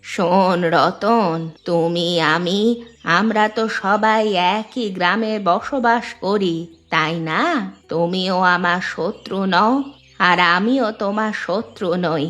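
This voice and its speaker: A woman's voice: medium pitch, takes it slowly, a bit bright. A woman playing an earnest, worried old village grandmother in a cartoon. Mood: earnest pleading. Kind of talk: dubbed cartoon dialogue. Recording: music bed behind the voice.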